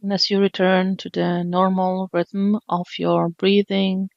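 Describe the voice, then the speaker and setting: A woman guides a body scan meditation in a slow, gentle, soothing way, leaving long pauses between phrases. Her voice stays level with little rise and fall. The recording is dry and a little dull. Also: mid-range voice, level tone, vocal fry, no room sound. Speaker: meditation teacher.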